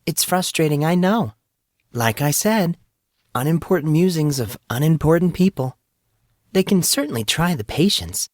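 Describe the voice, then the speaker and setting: A man's voice: a little high, lively intonation, moderate pace, dry recording, clean studio sound, voice pushed up, natural voice. A man voicing a poised, teasing young woman celebrity in a narrated fantasy story. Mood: composed, dismissive.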